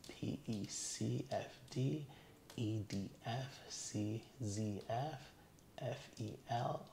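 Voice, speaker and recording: A man in his thirties as a cooperative patient in an ASMR exam roleplay. His voice is low and gravelly, his answers brief and unhurried, and the small room adds noticeable echo. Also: mellow, slight background noise. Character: cooperative adult male patient at an eye exam.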